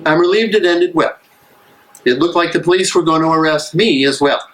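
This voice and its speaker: Man playing an earnest, higher-voiced weary minister. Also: moderate pace, fairly bright tone, close to an everyday voice, rough recording. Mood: earnest storytelling. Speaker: actor in a dramatic play reading. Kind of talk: live dramatic stage reading.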